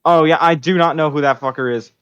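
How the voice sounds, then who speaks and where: A man's voice: on the high side, fast, fairly bright tone, clean tone. A man co-hosting a podcast in casual, informal chat with loose swearing, on a dull microphone. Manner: profane, informal. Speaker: podcast co-host.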